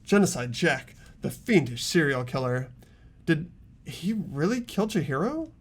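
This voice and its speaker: A man's voice: fairly high pitch, big pitch swings, a little slow, husky, heavily acted. A man voicing an earnest, thoughtful teenage boy detective, tentatively reasoning in an amateur game dub. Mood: puzzled.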